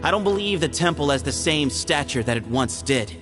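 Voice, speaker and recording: An actor playing a calm, shrewd young man, heir to a temple, who speaks in a matter-of-fact way, in a game cutscene. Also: on the high side, brisk, music in the background, lightly acted.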